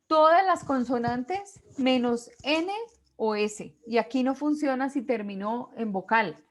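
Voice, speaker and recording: A woman teacher with a fairly high voice lectures to her class. She works through each point step by step, says examples aloud and asks her students quick questions. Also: lively intonation. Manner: explains step by step with spoken examples and quiz questions.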